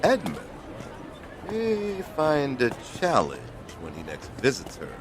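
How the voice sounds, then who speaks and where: An actor playing a sly, insinuating gossip stirring up trouble in an audio drama. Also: male voice, mid-range voice, wildly swooping pitch, measured pace, music in the background.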